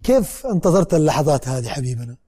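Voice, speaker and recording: A man's voice: a little high, sing-song, quick pace, warm tone, breathy edge. A man with a Saudi Hejazi accent interviewing guests as host, encouraging them with frequent pious interjections and echoing their words.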